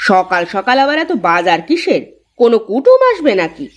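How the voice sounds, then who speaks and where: A woman voicing a sharp-tongued, resentful young village wife in a cartoon, scornful and complaining. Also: fairly high pitch, very animated, moderate pace. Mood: scornful, grudging. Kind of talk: cartoon voice acting.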